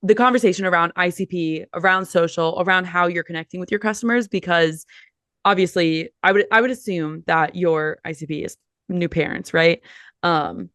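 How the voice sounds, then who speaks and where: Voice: medium pitch, moderate pace, a bit bright, no room sound, clean studio sound. A podcast host asking long, rambling questions, thinking aloud while asking. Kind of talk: casual interview questions.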